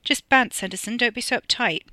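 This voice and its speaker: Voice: mid-range voice, animated, fast, pushed and bright, dry recording, clean studio sound. A narrator voicing a smug, menacing upper-class young man with an arrogant drawl in an audio story. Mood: smug, casual.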